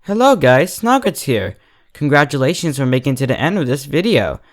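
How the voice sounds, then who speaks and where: A man hosts a story review with a very lively, swinging pitch. His voice sits in an average male range. He delivers a scripted critique addressed directly to online viewers. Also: moderate pace. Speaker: host and reviewer of fan fiction readings.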